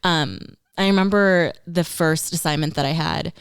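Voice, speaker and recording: A young woman whose first language is Tagalog tells a story in a relaxed podcast chat. Her voice sits slightly low and sounds smooth and clean. The recording is close and dry. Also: moderate pace, no echo. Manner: animated, acts out quoted lines in her own voice. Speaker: podcast guest.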